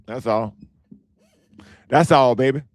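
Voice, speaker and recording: A man hosting his own podcast in casual, blunt commentary, repeating himself, with a hoarse, strained voice as he loses it. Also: mid-range voice, slow, darkish tone, no room sound. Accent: American. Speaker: podcast host.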